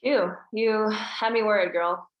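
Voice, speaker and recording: An actress at a table read playing a guarded, bitter teenage daughter with curt replies. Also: female voice, mid-range voice, steady tone, unhurried, roomy sound, lo-fi sound, lightly acted. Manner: curt, cutting.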